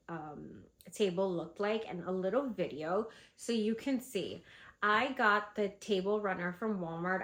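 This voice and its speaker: Stuffy-voiced woman giving vendor tips. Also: medium pitch. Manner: chatty, animated, lots of fillers. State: stuffy, congested from a cold. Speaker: vlogger and candle seller. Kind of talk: casual advice vlog.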